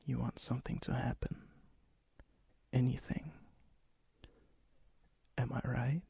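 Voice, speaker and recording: Breathy, husky vampire charmer, played by a man. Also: mid-range voice, flat delivery, dark tone, dry recording, full character voice. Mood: flirtatious, courteous. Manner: slow, intimate, teasing, old-fashioned courtesy. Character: charming, ancient male vampire, flirtatious hopeless romantic. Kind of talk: soft-spoken ASMR roleplay, often whispered. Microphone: close mic, dry sound.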